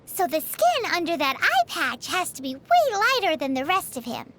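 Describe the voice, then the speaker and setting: A woman's voice: extremely high pitch, wildly swooping pitch, moderate pace, a bit bright, exaggerated voice. A woman voicing a tiny floating female fairy companion who chats cheekily and talks about herself in the third person. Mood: cheeky. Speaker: sidekick companion. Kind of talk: video game character banter.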